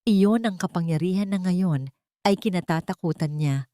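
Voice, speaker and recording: A woman's voice: fairly low pitch, moderate pace, mellow, dry recording, lightly acted. A woman playing a caring but firm poor young heroine in an audio drama, speaking earnestly.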